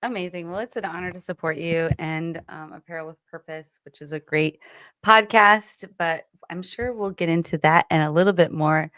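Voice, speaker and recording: A woman's voice: on the low side, moderate pace, a bit bright, dry recording. A woman from Illinois hosting a live stream panel, upbeat and effusive as she leads the talk over a video call.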